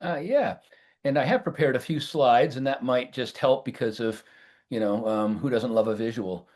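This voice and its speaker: An American man speaking as a professor on a webinar panel, halting often with fillers and restarts over a video call. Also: mid-range voice, a little mellow. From United States.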